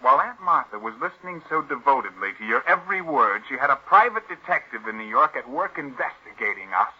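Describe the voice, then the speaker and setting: Husky idle playboy brother. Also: male voice, mid-range voice, sing-song, fairly quick. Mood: mocking. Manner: sardonic. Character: cynical, idle gambling brother of a scheming actress. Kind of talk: 1940s radio acting. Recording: muffled vintage radio drama recording.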